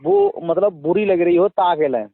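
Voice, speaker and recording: A man with a somewhat high voice talks over a thin, dry telephone line. His accent is from western Uttar Pradesh, and he argues defensively and assertively, restating his position as he goes. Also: huge pitch swings, normal speed, no echo. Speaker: religious storyteller. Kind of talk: argumentative phone conversation. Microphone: phone line. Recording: tinny phone call recording.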